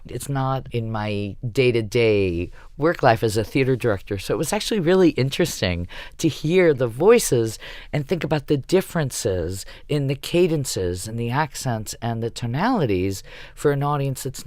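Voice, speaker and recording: A man's voice: mid-range voice, expressive, normal speed, darkish tone, dry recording, studio quality. A man who works as a theater director, speaking reflectively and effusively in a panel discussion.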